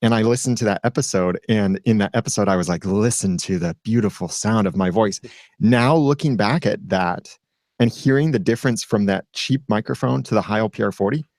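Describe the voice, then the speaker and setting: A man's voice: fairly low pitch, a little fast, darkish tone, no room sound, studio quality. A man speaking as a guest in a casual roundtable chat, explaining at length with a self-deprecating streak. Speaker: podcast guest.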